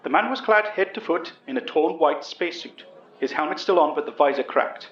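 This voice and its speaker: A man giving scripted dramatic story narration in a deliberate, literary storytelling manner. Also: a little high, lively intonation, a bit bright. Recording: muffled, echoey room sound.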